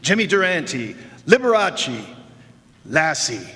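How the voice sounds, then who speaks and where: A sleazy, smooth-talking Hollywood agent, voiced by a man with a somewhat high voice. He answers slickly and evasively, and long hall reverb hangs around every line of this live radio play. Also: very animated, slow pace, bright, forceful tone, full character voice. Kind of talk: 1940s-style noir radio acting. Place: live stage performance in a hall.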